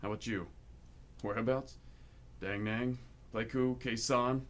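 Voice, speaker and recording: A man's voice: mid-range voice, fairly even intonation, unhurried, slight background noise, lightly acted. A man playing a laid-back, evasive older brother home from overseas in a radio play. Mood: casual, guarded. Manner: evasive, casual, clipped answers. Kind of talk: stage-style radio play acting.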